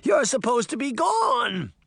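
A cowardly storybook deputy. His voice is high, whiny and wildly swooping. He blusters and pleads like a man scared out of his wits, recorded dry. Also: big pitch swings, extremely slow, bright, forceful tone, clean tone, dry recording, heavily acted. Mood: horrified. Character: cowardly, terrified, skinny male deputy. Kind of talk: audiobook character voice.

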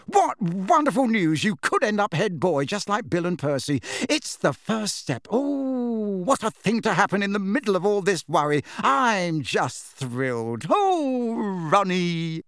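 Doting middle-aged mum for an audiobook, played by a man: high, breathless, gushing, very lively. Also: unhurried, hardly any pauses, dry recording, clean studio sound, heavily acted. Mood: thrilled, gushing.

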